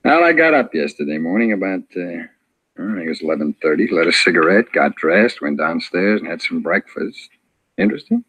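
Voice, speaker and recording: An actor playing a cocky, evasive murder suspect in an old radio crime drama, talking in insolent, hesitant slang. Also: male voice, low-pitched, very animated, brisk, a bit bright, husky, heavily acted. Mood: sarcastic. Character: cocky, evasive male murder suspect who turns pleading under questioning.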